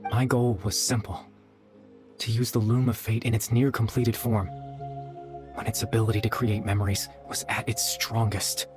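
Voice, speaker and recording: An actor playing a gentle, weary young man in a video game, speaking in a soft, measured, wistful way. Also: lowish voice, lightly acted. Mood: wistful, tender. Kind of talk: dramatic video game dialogue.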